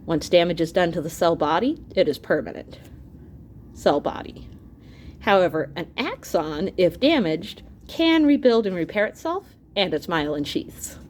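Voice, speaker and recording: Expressive woman reading a science book aloud. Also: lowish voice, slight background noise. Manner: explanatory, expressive, pausing to clarify terms. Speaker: teacher reading aloud to students. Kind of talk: educational read-aloud of an illustrated science book.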